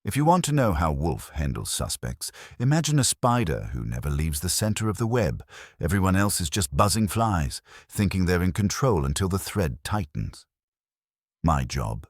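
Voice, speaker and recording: A man's voice: a bass voice, very animated, moderate pace, husky, no room sound, clean studio sound. A man narrating a scripted first-person detective story in a wry, hard-boiled manner. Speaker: audiobook narrator.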